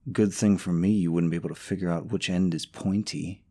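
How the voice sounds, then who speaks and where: A man's voice: low voice, fairly even intonation, fairly quick, dark tone. A man playing a young, smug, possessive boyfriend in an audio roleplay, sarcastic toward his rival and syrupy sweet to the listener. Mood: sarcastic, wooing. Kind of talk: scripted romantic audio roleplay.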